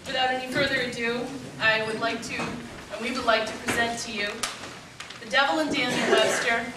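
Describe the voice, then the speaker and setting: A woman's voice: fairly high pitch, fairly even intonation, moderate pace. A woman giving an informal, grateful welcome speech as the producer introducing a live performance. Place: meeting house hall with live audience. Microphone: distant microphone. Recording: echoey hall, distant mic, noisy audience.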